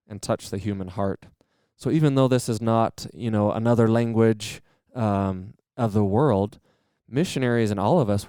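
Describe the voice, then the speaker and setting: A man, a training-center manager and podcast guest, explaining earnestly and enthusiastically in a steady conversational way. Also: fairly low pitch, clean tone, dry recording, studio quality.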